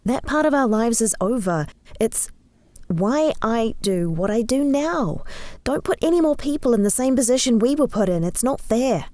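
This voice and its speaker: A woman's voice: a little high, lively intonation, moderate pace, warm tone, no echo, natural voice. A woman playing a hero's hurt, exasperated wife in an audio drama, with music behind. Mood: pleading.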